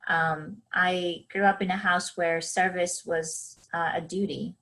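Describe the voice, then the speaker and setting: A woman's voice: on the low side, steady tone, a little slow, a bit bright, poor audio. A young woman from Palestine giving a keynote talk on a webinar. She tells her personal story in an earnest way, with frequent ums and restarts. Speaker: keynote speaker.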